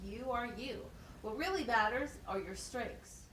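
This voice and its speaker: A woman acts out a methodical, encouraging teacher in a puppet show. Her voice is fairly high with lively intonation. She guides with plain questions and explanations, heard in a roomy recording. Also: unhurried. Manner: instructive, question-led, plain wording. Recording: roomy, distant mic, faint noise.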